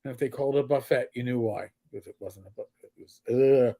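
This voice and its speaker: A man's voice: mid-range voice, fast talker, vocal fry, warm tone. A middle-aged man with a strong New York accent chats casually on a livestream. He rambles, stops and starts, and fills the gaps with "um" and "uh" as he thinks aloud. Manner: rambling, stop-start with many fillers and restarts. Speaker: podcast co-host.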